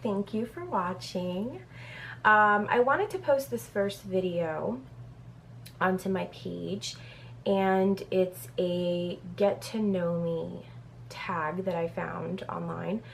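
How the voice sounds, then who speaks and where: A woman in her thirties from Brazil chats through a casual question-and-answer video, reading each question off a printed page. Her voice sits a little low, with a gravelly fry running through it. A faint hum stays under the recording. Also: slow pace. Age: 30s. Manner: chatty, reads questions off a paper, many "um"s. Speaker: beauty vlogger. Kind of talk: casual get-to-know-me Q&A.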